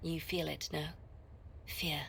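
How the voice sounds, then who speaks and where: An actress playing a poised, melancholy, middle-aged spymaster in a video game, speaking with measured gravity. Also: female voice, sits low, level tone, vocal fry, a bit bright, slight background noise, heavily acted. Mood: wistful, grave. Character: poised, melancholy, calculating woman spymaster advising a leader. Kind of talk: dramatic video game dialogue.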